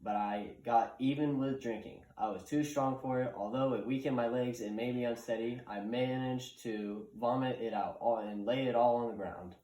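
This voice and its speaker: A bawdy, hungover gatekeeper played by a man holds forth in a puppet play. He rambles with mock wisdom at a middling pitch, in an echoey room. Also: steady tone, moderate pace, hardly any pauses, dark tone, natural voice. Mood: boastful. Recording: roomy, echoing room sound.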